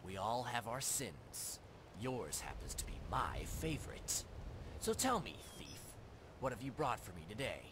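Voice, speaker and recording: Man acting a sly fence with swooping pitch. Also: very animated, unhurried. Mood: sly, teasing. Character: shady male fence and thief boss, sly, businesslike. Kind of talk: video game character dialogue. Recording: noisy ambience behind the voice.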